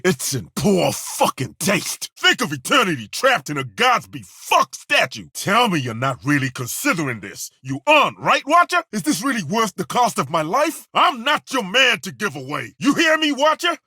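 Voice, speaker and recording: An actor playing a gruff, weary dwarf warrior in a video game, grumbling with crude, wry humor. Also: male voice, high voice, huge pitch swings, moderate pace, hardly any pauses, pushed and bright, dry recording, clean studio sound, full character voice. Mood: angry.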